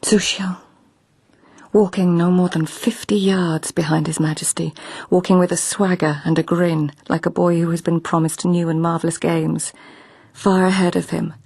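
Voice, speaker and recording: An actress playing a sharp, wry court lady in a radio drama, recalling memories in a hushed, reflective voice. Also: on the low side, no echo, heavily acted. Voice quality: husky, slightly gravelly. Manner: hushed, reflective narration, dry replies. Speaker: narrator of memories.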